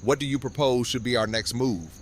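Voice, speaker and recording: A man's voice: mid-range voice, a little fast, a little hiss or hum, lightly acted. A man playing a noble, theatrical king who speaks formally and graciously in an audio drama. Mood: reassuring. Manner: grand, formal speech. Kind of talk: fan audio drama acting.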